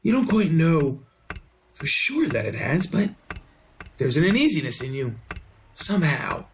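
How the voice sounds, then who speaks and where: An actor playing an obsessed, bewildered man in a retro radio drama, with halting, melodramatic delivery. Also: fairly high pitch, very animated, a bit bright, a little hiss or hum. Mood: haunted, uneasy. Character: obsessed, bewildered man craving knowledge of the future.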